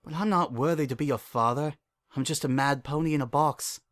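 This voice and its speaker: A narrator voicing a sorrowful, world-weary pony father in a dramatic story, halting and hollow in delivery. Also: medium pitch, no echo, pitched above the performer's own voice, close to an everyday voice. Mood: self-reproaching. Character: guilt-ridden, weary old time-traveling stallion father.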